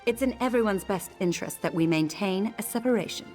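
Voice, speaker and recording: A proud elf noblewoman, voiced by a woman, speaks with lively, rising and falling pitch that carries her imperious indignation. Her voice sits at a middle pitch. Faint game music plays behind. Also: fairly quick, natural voice. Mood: indignant. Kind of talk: video game voice acting.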